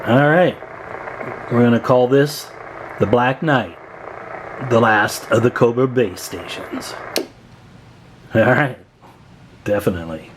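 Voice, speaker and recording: A man with a medium-pitched voice walks viewers through his own project in a casual, folksy way. He often checks in with short rhetorical questions. The sound is a noisy indoor recording from a handheld camera. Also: takes it slowly, pauses often. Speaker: hobbyist radio builder presenting own work. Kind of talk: casual product showcase to camera.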